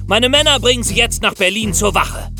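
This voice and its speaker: A man's voice: high voice, fairly quick, music in the background, pitched above the performer's own voice, close to an everyday voice. A man voicing a curt, commanding army captain in a narrated true crime story. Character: brisk commanding army captain making an arrest, stern.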